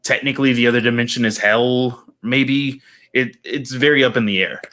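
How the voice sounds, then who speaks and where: A man of middle pitch chatting casually as a podcast host. He rambles, trails off and restarts his sentences, filling the gaps with ums and likes. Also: moderate pace, a bit bright. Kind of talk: casual chat.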